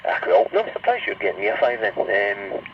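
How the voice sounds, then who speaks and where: A man's voice: lowish voice, very animated, fairly quick. A Scottish man chatting casually over CB radio in a rambling way, often adding little tag questions. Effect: CB radio transmission. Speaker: CB radio hobbyist. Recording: muffled, distant, faintly noisy CB radio.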